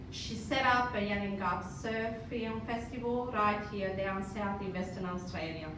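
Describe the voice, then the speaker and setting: Hungarian-accented woman host, very echoey. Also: medium pitch. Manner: halting, stumbling reading. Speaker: event host introducing a speaker. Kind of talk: read-out speaker introduction. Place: roomy live event venue. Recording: echoey, distant, noisy room recording.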